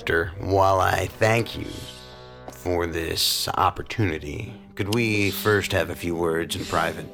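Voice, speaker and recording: An actor playing a polite, somewhat hesitant federal special agent in a science fiction audio drama. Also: male voice, low-pitched, measured pace, vocal fry, a bit bright, soft background music, exaggerated voice. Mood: polite, flustered. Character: deep-voiced, polite, uneasy male federal special agent.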